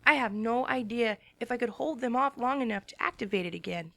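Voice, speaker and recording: A woman's voice: fairly high pitch, fairly quick, creaky, pushed and bright, dry recording. A woman giving an emotional, earnest first-person dramatic reading of a story. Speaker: reader.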